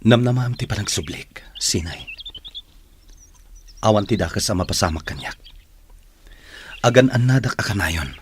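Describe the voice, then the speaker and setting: A man plays a gentle, earnest fighter in a romantic radio drama. His voice is very low and consoling, over clear background noise. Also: lively intonation, normal speed, long pauses, natural voice. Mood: tender, reassuring. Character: tender young male fighter consoling his sweetheart.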